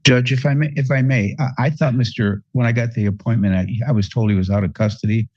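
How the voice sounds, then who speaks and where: A man arguing as a defense attorney in court, halting and repeating his words as he goes. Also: mid-range voice, a little mellow, slightly rough, no room sound. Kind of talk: spontaneous courtroom argument. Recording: dull courtroom audio feed.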